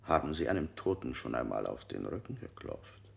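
A man's voice: very deep voice, very animated, a little fast, vocal fry, very noisy, heavily acted. An actor playing a cold male interrogator in a radio drama, firing unsettling questions with sudden leaps in his voice. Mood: probing.

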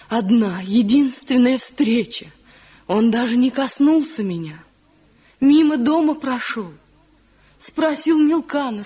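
A woman acting an anguished, pleading young wife in a radio drama, devoted, with a husky, breathy voice. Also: fairly high pitch, a little mellow, exaggerated voice. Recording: muffled 1970 radio drama recording, music behind.

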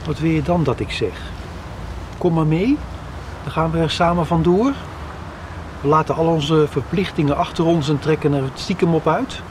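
An actor in a radio soap playing an earnest, wistful middle-aged factory director from a farm family. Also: male voice, fairly high pitch, moderate pace, noisy background, close to an everyday voice. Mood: resigned, tender.

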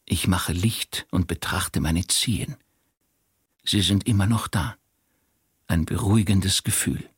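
Very raspy, musing eccentric. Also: male voice, low-pitched, measured pace, long pauses, dry recording, clean studio sound, full character voice. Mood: contemplative, deadpan. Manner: matter-of-fact, contemplative. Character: musing, solemn, eccentric man in absurd monologue. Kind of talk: deadpan radio play monologue.

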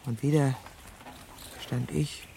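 An actress playing a bitter, jealous middle-aged woman with secret murderous spite in a radio drama, speaking in a low, gravelly, often whispery voice with clipped, venomous control. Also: steady tone, slow pace, background noise, exaggerated voice. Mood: cold hatred. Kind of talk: intimate radio drama monologue and dialogue.

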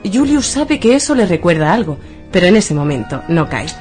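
A woman narrator reading a scripted comic story in a wry, deliberate storytelling manner, with music behind her. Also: on the low side, big pitch swings, a little mellow.

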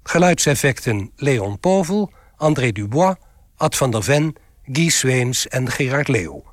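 Guy announcer reading radio play credits, slow and formal, medium pitch, dry close sound. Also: very animated, no echo. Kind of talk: scripted radio drama announcement.

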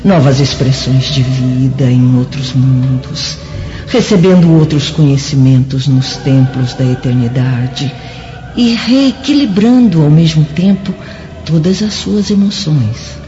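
Um homem interpretando um espírito bondoso e enlevado que explica o paraíso, em tom declamado e formal de radionovela religiosa. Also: male voice, medium pitch, takes it slowly, warm tone, natural voice. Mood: uplifted. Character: exalted spirit companion explaining paradise, kindly and uplifted. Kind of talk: solemn religious radio drama acting. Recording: dull recording, music behind.

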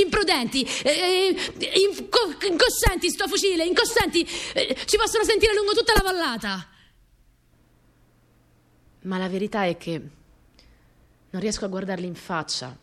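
An actress playing a small, earnest, easily flustered young wartime partisan courier, telling her story in an intimate, expressive radio drama monologue. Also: on the high side, close to an everyday voice. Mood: flustered, breathless, then hushed, confiding.

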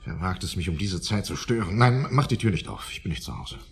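A pompous, irritable professor of psychiatry in a radio play. The voice is husky and swings widely in pitch, brusque and condescending. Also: male voice, animated, a little fast, full character voice. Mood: irritated, peevish. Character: irritable, haughty older male psychiatry professor. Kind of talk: German radio drama acting.

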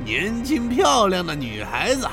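Creepy thug guy played by a man in audio drama, slow teasing drawl, pitch all over the place. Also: huge pitch swings, fairly bright tone, soft background music, exaggerated voice. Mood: leering menace. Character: leering, menacing male thug harassing a young woman.

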